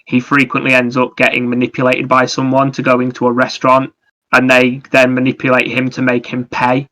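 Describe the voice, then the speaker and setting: A man's voice: medium pitch, monotone, brisk, sharp tone, poor audio. A man chatting casually about video games as a co-host on a podcast.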